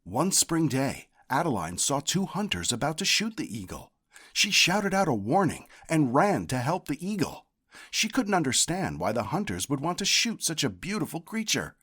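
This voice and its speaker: A man reading a children's picture book aloud as a storyteller, with a deliberate, expressive delivery. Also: a little high, breathy edge. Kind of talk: scripted read-aloud narration.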